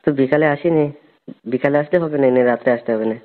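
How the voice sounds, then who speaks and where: A man's voice: mid-range voice, quick pace, natural voice. A man playing a hesitant but eager young brother-in-law in a staged phone-call drama, asking probing questions. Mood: curious, hesitant. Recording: tinny narrowband phone line.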